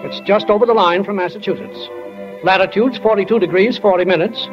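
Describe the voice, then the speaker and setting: An actor playing a folksy, plain-spoken old small-town druggist who narrates a 1940s radio drama. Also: male voice, sits high, fairly quick, over music, lightly acted. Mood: folksy, matter-of-fact. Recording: dull vintage 1940s radio recording.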